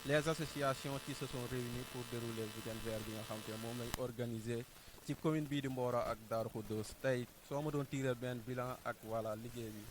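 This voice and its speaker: A man's voice: mid-range voice, fairly even intonation, fairly quick. A Senegalese man conducting a field interview as a TV reporter, putting questions in a mix of two languages. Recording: noisy on-location recording, ambient sound.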